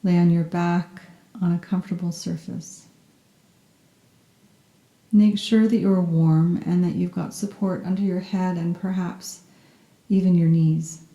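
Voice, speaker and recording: Very mellow, soft-spoken woman guiding meditation. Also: lowish voice, steady tone, echoey room, lo-fi sound. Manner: soft-spoken, soothing, gentle and unhurried phrasing. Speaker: yoga nidra meditation guide. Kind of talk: guided meditation, scripted narration.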